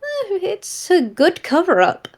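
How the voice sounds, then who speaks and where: A woman's voice: very high-pitched, huge pitch swings, slow pace, voice pushed up, exaggerated voice. A woman playing an eager, playful female monkey detective who mentors an apprentice and talks in folksy mild oaths. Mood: shrewd, musing.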